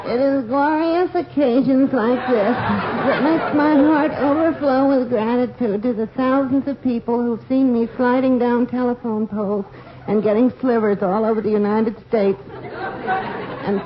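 A man playing a frail, henpecked telephone lineman who tells jokes deadpan in a 1940s radio comedy sketch. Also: very high-pitched, measured pace, a crowd in the background, noisy background. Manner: deadpan, mock-grand wisecracks. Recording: lo-fi old radio broadcast, live audience.

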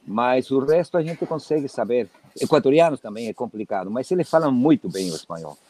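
A man's voice: mid-range voice, brisk. A man from Chile, a correspondent, telling anecdotes and acting out the lines of a joke. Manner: acts out dialogue in a joke with lively voices. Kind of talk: anecdotal storytelling.

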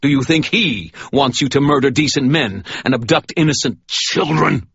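A man voicing a fanatical priest who pleads in a high, strained voice. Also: moderate pace, a bit bright, husky, no room sound, highs cut off. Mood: accusing. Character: fanatical male priest, cold and desperate.

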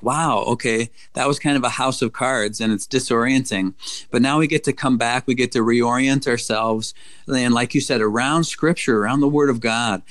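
A man, a pastor, speaking in a warm, encouraging and affirming way during a conversational discussion. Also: medium pitch.

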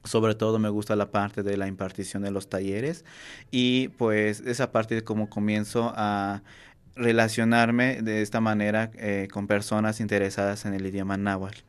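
A young man whose first language is Nahuatl, speaking in a low, steady, smooth voice with little rise and fall. He answers earnestly and pauses often, filling gaps and restarting phrases as he goes. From Mexico. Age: young adult. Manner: earnest, halting with many fillers and restarts. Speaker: Nahuatl workshop teacher and university student. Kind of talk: interview answers.

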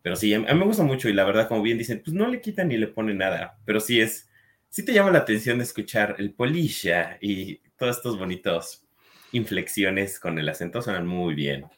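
A man's voice: on the low side, very animated, fairly quick, fairly bright tone. A man from Mexico with a Mexican accent, talking casually and conversationally, like someone sharing anecdotes. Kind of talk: casual chat.

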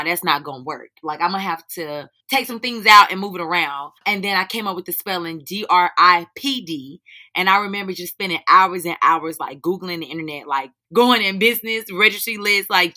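Young North Carolina woman, raspy, slightly hoarse, bright tone, relaxed candid interview guest. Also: fairly low pitch. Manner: candid, informal, slangy. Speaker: guest, teacher and business owner. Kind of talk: casual interview answers.

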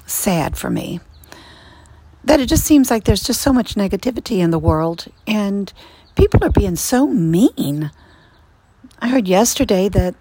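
Hoarse, raspy woman podcaster. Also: medium pitch, a little mellow, no echo. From Virginia, USA. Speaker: podcast host and ministry leader. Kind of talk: casual devotional podcast talk. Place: screened-in porch outdoors.

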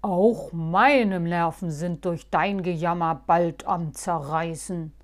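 A woman's voice: fairly low pitch, takes it slowly. Woman voicing a dry, bearded gnome man. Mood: dry teasing. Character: dry, grumpy old male gnome with beard.

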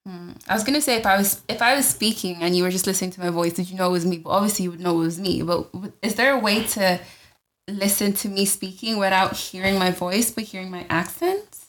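A woman's voice: mid-range voice, fast talker, a bit bright. A woman co-hosting a podcast in casual chat, rambling and restarting her questions often. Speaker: podcast co-host.

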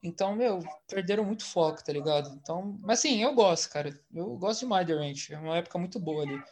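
A man hosting a casual podcast chat about TV series, speaking Brazilian Portuguese in a relaxed, slangy way full of fillers. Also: high voice, quick pace. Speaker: podcast host.

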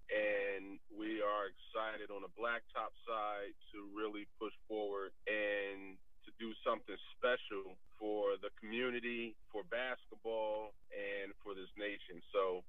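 A man's voice: fairly low pitch, flat delivery, unhurried, few pauses, no room sound. A man from New Jersey, a sports league co-CEO, earnestly telling his life story over the phone, with many filler phrases. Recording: tinny phone line.

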